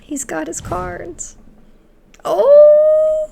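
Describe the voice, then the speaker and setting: A woman reacts casually to a game in a high, hugely animated voice that often drops into heavy fry. Faint game ambience sits behind her commentary. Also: drawn-out words, slight background noise. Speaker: gaming video creator.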